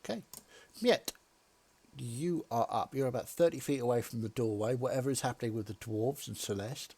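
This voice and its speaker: A man's voice: medium pitch, normal speed, a little mellow, husky. A man running a tabletop game as dungeon master, dry and teasing as he calls turns and rolls.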